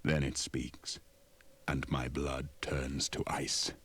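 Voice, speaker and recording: A man's voice: very deep voice, little pitch movement, slow pace, husky, exaggerated voice. A man playing a solemn, world-weary namer of war machines, narrating a children's audio story in a portentous manner. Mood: ominous. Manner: grave, portentous. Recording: loud music behind the voice.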